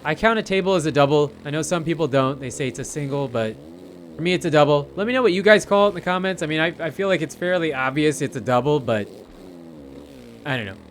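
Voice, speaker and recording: Higher-pitched man doing casual game commentary. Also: expressive, fast, slight background noise. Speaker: gaming video creator. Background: racing game vehicle engine sounds.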